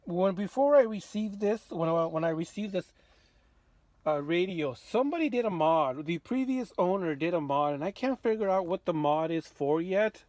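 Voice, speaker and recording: A man presenting a casual hands-on walkthrough as a radio restorer, informal and halting as he thinks aloud. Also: high voice, animated, darkish tone, dry recording.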